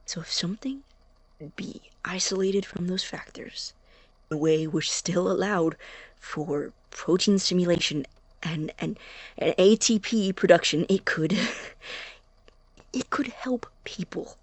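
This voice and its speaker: A woman playing an anxious, obsessive young science student in an audio drama, halting and interrupting their own thoughts with intensity. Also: on the low side, slightly rough, dry recording. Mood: fervent, earnest.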